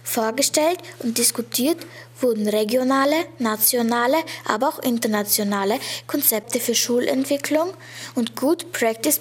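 A teenage girl narrating a school radio report in a measured read-aloud way. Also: a little high, husky. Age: teens. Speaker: student reporter.

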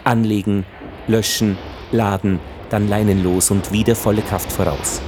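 A man's voice: lowish voice, fairly even intonation, unhurried, music in the background. A man delivering sober, factual scripted narration in a documentary style for a radio play.

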